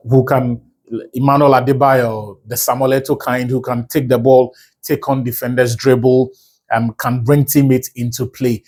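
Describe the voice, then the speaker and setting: A man's voice: mid-range voice, a little mellow. Ghanaian man breaking down football. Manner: fluent, self-correcting, chatty asides. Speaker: football analyst. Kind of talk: solo football analysis video.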